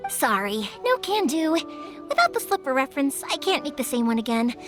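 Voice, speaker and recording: A bubbly, chatty young fireworks maker, high-voiced and lively in her melody. She talks readily and encourages others. Soft game music sits behind her. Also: brisk. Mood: warm, playful. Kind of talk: game cutscene dub.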